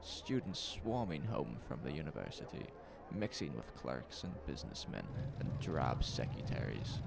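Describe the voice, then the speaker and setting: A man's voice: lowish voice, gravelly, heavy background noise. A man narrating a scripted horror story, with ambient sound effects behind him.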